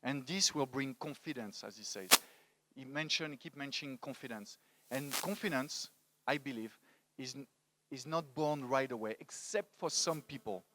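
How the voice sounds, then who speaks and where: A man in his forties from France, with a French accent, giving a motivational talk into a handheld microphone in a halting, emphatic way. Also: medium pitch, noisy background. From France, grew up in Paris. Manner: halting, emphatic, repeats words for stress. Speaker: conference speaker, storytelling coach. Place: indoor stage at a conference.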